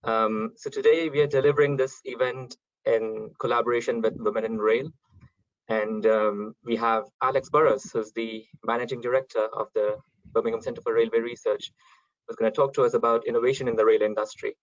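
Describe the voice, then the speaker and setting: A man hosting an online event, polite and organised, pausing often with small hesitations. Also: mid-range voice, little pitch movement, no echo. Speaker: event host. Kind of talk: webinar hosting. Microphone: video call. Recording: dull, dry online call audio.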